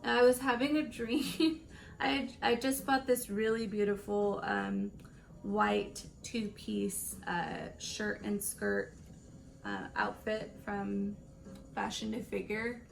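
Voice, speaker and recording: A woman in her late twenties with a raspy voice, chatting casually through a makeup tutorial and rambling between stories with laughs. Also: mid-range voice, steady tone, slow pace, soft background music. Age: late 20s. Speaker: beauty vlogger.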